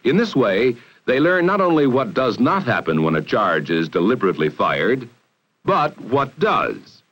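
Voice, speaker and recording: An American man narrating a 1960 educational film in a formal, measured, authoritative way, on a vintage film soundtrack. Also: mid-range voice, sing-song, lo-fi sound. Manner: formal, measured, authoritative delivery with emphatic phrasing.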